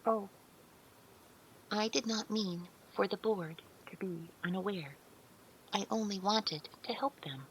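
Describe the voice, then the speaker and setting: A woman's voice: mid-range voice, slow pace, pauses often, a little hiss or hum, lightly acted, low-quality recording. A woman playing a polite, childlike artificial intelligence in an audio drama, speaking earnestly with precise, formal, literal wording. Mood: innocent, concerned.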